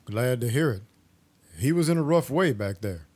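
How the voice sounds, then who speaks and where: An easygoing male vampire, played by an actor, talks in a casual, plain-spoken way. His voice is mid-pitched and very dry, in audio drama style. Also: expressive, moderate pace, darkish tone, dry recording, lightly acted. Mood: direct, concerned. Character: male vampire, easygoing, concerned acquaintance from the streets.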